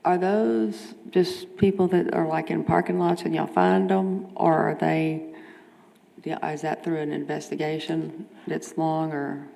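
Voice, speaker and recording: A woman's voice: low-pitched, level tone, a little slow, creaky, dark tone. A woman asking questions at a public meeting, informal and searching for her words. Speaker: committee member. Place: council meeting room. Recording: livestream from a reverberant meeting room.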